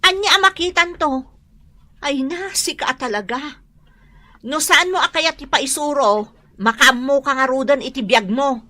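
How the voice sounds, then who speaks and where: A woman's voice: high-pitched, measured pace, sharp tone, no echo. A woman acting as an exasperated, worried, nagging wife in a radio drama, with an Ilocano accent. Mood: exasperated scolding. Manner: nagging, sighing, emphatic. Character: exasperated, worried wife of a hard-drinking man.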